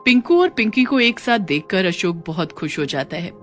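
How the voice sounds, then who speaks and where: A woman's voice: fairly low pitch, expressive, moderate pace, faint music behind. A woman reading scripted narration for a moral story.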